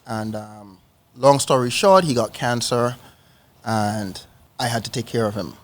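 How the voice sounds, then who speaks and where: A man with a medium-pitched voice speaks as a guest on a podcast. He tells personal stories in short, conversational phrases, often checking that he is understood. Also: a little slow. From Trinidad and Tobago. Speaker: podcast guest, financial educator. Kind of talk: casual personal storytelling in an interview.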